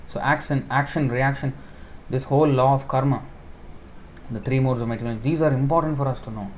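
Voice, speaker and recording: A man at a mid pitch delivers a relaxed sermon and builds each point from simple everyday pictures. He breaks off with fillers and corrects himself as he goes. A faint ambient hum sits under the recording. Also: brisk. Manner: explains with homely examples, stops to correct himself, thinks out loud. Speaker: preacher giving a scripture class. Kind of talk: informal sermon.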